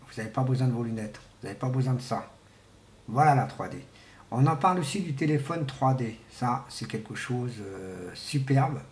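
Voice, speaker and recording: Halting man rambling in an echoey room. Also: medium pitch, expressive, a little mellow. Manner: halting, hesitant, repeats words and restarts; often clears throat. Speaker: vlogger. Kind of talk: rambling informal monologue to viewers. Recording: echoey room with faint background noise.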